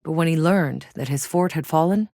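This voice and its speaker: Tough wry soldier lady for a video game, low pitched, terse and matter of fact, dry studio sound. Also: normal speed, darkish tone, dry recording. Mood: matter-of-fact, focused. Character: tough, wry female soldier scouting a hostile alien world. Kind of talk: scripted video game voice lines.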